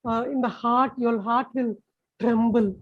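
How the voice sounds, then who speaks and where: A woman with an Indian accent giving a personal religious testimony as an interview guest, with halting, repetitive phrasing. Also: on the high side, steady tone, highs cut off.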